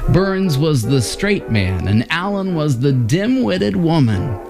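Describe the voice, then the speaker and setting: Male scripted narrator, medium pitch, lively inflection, faint music bed. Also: takes it slowly, creaky. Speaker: narrator introducing vintage radio episodes.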